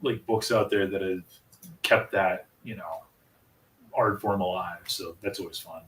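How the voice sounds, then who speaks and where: A man's voice: low-pitched, steady tone, measured pace, fairly bright tone, far from the mic, a little hiss or hum. An American man in his thirties hosting a casual interview, chatty and rambling. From United States. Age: 30s. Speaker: interview host. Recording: echoey room, away from the mic.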